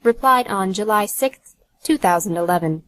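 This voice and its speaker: A woman's voice: medium pitch, lively intonation, moderate pace, a bit bright. A woman narrating an audiobook from a script in a neutral, announcing manner.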